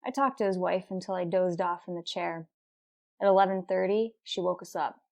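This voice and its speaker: Woman acting a terse, deadpan female police detective. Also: lowish voice, brisk, natural voice. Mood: matter-of-fact. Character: determined, businesslike female police detective sergeant narrating her case. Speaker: narrator and lead actor. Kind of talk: 1950s police radio drama narration, clipped and matter-of-fact.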